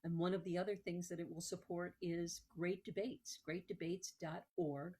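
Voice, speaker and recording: Female presenter, slightly deep register, informal promotional talk with emphatic stresses. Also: fairly even intonation, normal speed, dark tone, breathy edge. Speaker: host, museum executive director.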